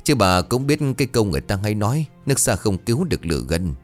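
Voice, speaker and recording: A man voicing a middle-aged village man who talks fast and urgently. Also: lowish voice, very animated, faint music behind. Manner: hurried, pleading.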